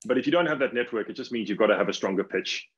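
A man in his thirties from Africa moderates a panel from his home office, upbeat and quick with questions. His voice is low and husky. The video call audio is dull and muffled. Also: lively intonation.

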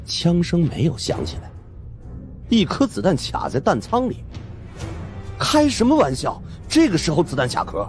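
A man narrating a suspense audiobook in the first person, with dramatic, animated delivery. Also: fairly high pitch, normal speed, pauses often, soft background music.

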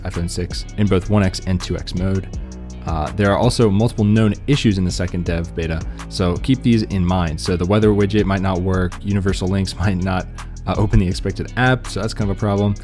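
A man's voice: sits low, darkish tone. A man giving casual, laid-back tech news commentary in an informal, slangy way.

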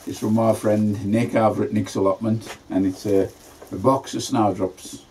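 Homely man in his fifties, low dark voice, chatty allotment vlogger, faint background noise. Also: steady tone, normal speed, rough recording. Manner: chatty, homely, plain-spoken. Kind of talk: casual gardening vlog narration. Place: inside a greenhouse on an allotment.

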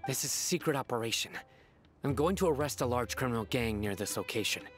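An actor playing a stern, principled young desert law enforcer in a video game, speaking gravely and formally. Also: male voice, mid-range voice, expressive, quick pace, faint music behind, natural voice. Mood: commanding. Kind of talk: video game character acting.

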